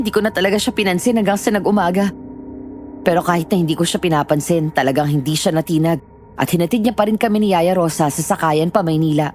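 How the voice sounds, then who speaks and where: A woman's voice: fairly low pitch, a little mellow, a little hiss or hum, lightly acted. An actress playing a young woman who narrates her own frightening ordeal in a first-person horror story. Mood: rueful. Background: music.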